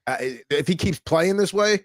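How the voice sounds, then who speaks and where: A man's voice: on the high side, lively intonation, normal speed, dry recording, clean studio sound. An American man hosting a hockey podcast in casual chat, rambling with lots of fillers. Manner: rambling, filler-heavy, easygoing. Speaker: podcast host.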